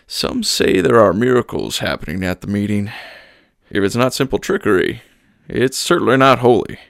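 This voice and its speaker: A man's voice: lowish voice, very animated, creaky, a bit bright, no echo, heavily acted. A man doing a blunt, plain-spoken churchman. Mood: matter-of-fact. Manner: blunt, dry, unhurried.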